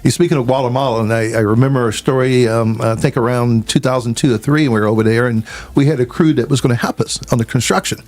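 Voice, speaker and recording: A man, answering radio interview questions as a sheriff in a measured, folksy way with many pauses. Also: mid-range voice, steady tone, a little mellow. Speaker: sheriff guest.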